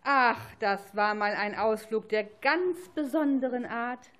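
A woman's voice: on the high side, takes it slowly, a bit bright. A woman playing a cheerful grown-up who reveals a secret in an amateur children's radio play. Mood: pleased, revealing.

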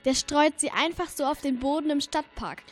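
A woman's voice: high voice, normal speed, close to an everyday voice. A female performer playing a sensible, concerned girl detective in a German radio play. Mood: worried.

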